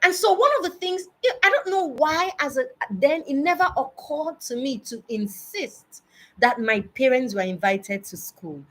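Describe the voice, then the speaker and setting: Nigerian woman, early 40s, high register, unhurried pace; emphatic, deliberate monologue of personal advice. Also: fairly bright tone, poor audio. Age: early forties. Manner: emphatic, deliberate, with repetition for stress. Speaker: speaker addressing parents.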